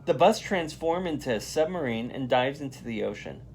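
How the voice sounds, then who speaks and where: A man reading a children's picture book aloud as a volunteer, in an expressive, emphatic way. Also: mid-range voice, normal speed, slight background noise. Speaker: volunteer read-aloud reader.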